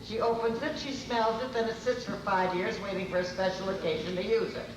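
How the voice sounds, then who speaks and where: A woman's voice: mid-range voice, a little fast, close to an everyday voice. Echoey, distant woman playing a fussing, exasperated wife. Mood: exasperated, instructing. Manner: insistent, repeats herself, chiding. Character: exasperated, bossy, fussing wife in domestic comedy. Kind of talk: old-time radio comedy acting, live on stage. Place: convention stage before an audience. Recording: echoey noisy hall, distant microphone.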